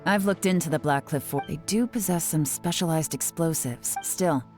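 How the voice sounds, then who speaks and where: Woman playing composed commanding spymaster, lowish voice, measured, analytical, game dialogue over music. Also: darkish tone, lightly acted. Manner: measured, authoritative, matter-of-fact. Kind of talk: English video game voice acting.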